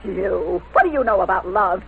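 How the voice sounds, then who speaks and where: A woman's voice: medium pitch, lively intonation, normal speed, gravelly, slightly rough, exaggerated voice. An actress playing a domineering, scolding mother who repeats herself emphatically, in old-time radio melodrama style. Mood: scolding, outraged. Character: shrill, domineering, scolding mother of a teenage daughter. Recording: tinny, noisy vintage radio recording.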